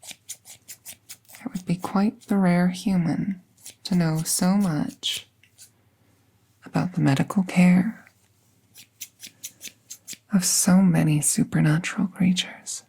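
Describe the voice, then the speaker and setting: Gentle fae vet, played by a woman, ASMR roleplay, breathy and whispery, soft dark tone, creaky edge, soothing, formal wording. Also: on the low side, fairly even intonation, slow, pauses often, full character voice, low-quality recording. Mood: gentle, wry. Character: gentle, playful fae woman veterinarian tending a wounded werewolf. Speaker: audio roleplay performer.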